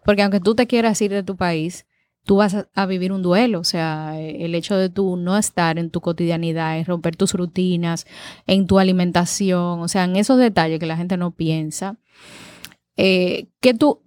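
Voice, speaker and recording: A woman's voice: fairly low pitch, steady tone, moderate pace, a little mellow, no room sound. Attentive woman host. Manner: attentive, encouraging, frequent affirming interjections. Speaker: host, interviewer. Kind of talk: casual interview.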